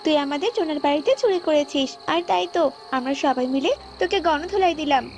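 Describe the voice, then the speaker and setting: A woman's voice: high-pitched, fast, darkish tone, faint music behind, pitched above the performer's own voice. A woman voicing a bullying cartoon bird, bossy and threatening. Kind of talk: children's cartoon voice acting.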